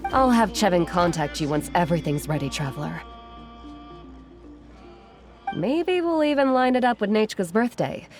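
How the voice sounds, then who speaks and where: A woman voicing a modest, caring village craftswoman in a video game, with a gentle, composed manner. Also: a little high. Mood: friendly.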